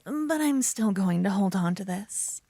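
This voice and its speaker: A woman playing a silly, adorable young paladin in an ASMR audio roleplay, teasing and theatrical, hushed in places. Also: medium pitch, expressive, a little slow, no echo. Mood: possessive, playful. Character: adorable silly young female paladin, bossy, smitten, playful know-it-all.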